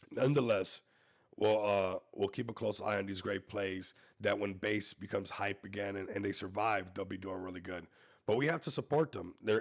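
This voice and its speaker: A man hosting a casual crypto livestream, hoarse and losing his voice, hyping coins and calling out to viewers. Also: lowish voice. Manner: hype-driven, repeats "everybody", talks to viewers. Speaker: crypto show host.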